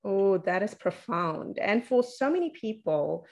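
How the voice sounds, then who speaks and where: A woman in her thirties with a mid-pitched voice, hosting an interview over a video call from her office. She asks long, encouraging questions. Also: big pitch swings, a little slow, darkish tone. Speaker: host. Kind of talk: casual interview. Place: office, indoors.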